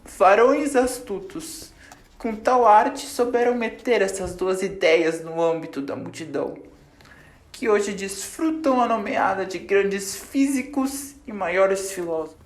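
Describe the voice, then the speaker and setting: A woman's voice: medium pitch, slow. A young woman with a Brazilian accent reading scripted narration for a radio drama. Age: teenager. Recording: echoey, roomy amateur recording.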